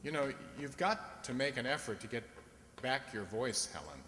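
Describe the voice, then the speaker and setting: A man's voice: mid-range voice, lively intonation, normal speed, sharp tone. A man in a radio drama playing a kind, earnest young country doctor with a hesitant, tender manner. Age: young adult. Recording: echoey hall, faint background noise.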